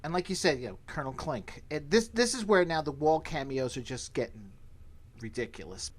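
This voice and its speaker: A man recaps as a podcast co-host in a casual, amused tone. His intonation is lively and moves up and down a lot. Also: fairly high pitch, a little fast. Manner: amused, conversational. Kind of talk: casual humorous episode recap.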